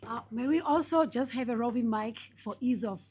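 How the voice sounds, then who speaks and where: A woman with a husky voice chairing a conference session in short procedural remarks. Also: fairly high pitch, brisk, a little mellow. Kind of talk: brief procedural chairing of a meeting.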